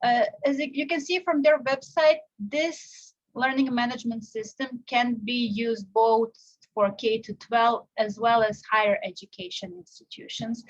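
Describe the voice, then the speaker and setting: A young woman from Serbia giving a live online software tutorial, explaining step by step with frequent fillers and restarts. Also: on the high side, highs cut off. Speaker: teacher presenting a software tutorial. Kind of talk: live tutorial with screen share.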